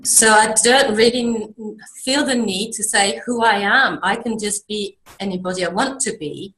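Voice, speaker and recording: Soft-spoken Danish-born woman, roomy sound. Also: mid-range voice, normal speed. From Denmark. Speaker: guest, creative mentor. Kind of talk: video interview conversation. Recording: echoey room sound.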